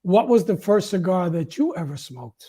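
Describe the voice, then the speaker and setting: A man's voice: sits high, very animated, moderate pace, mellow, slightly rough. A man hosting a casual interview over a video call, chatting and putting questions to his guest. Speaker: interview host.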